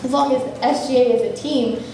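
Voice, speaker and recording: A woman's voice: high-pitched, steady tone, fairly quick, warm tone. A young woman running for student government president, answering debate questions in an earnest, eager way. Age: young adult. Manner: earnest, eager, speaks in fluent run-on sentences. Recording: echoey room from far off, faint noise.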